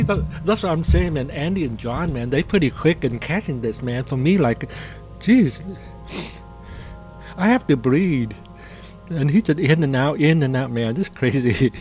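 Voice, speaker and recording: A man's voice: a little high, lively intonation, measured pace, a little mellow, faint music behind. A man with doing casual live trading commentary, chatty and jokey, often repeating his phrases. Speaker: day trader hosting a live trading stream.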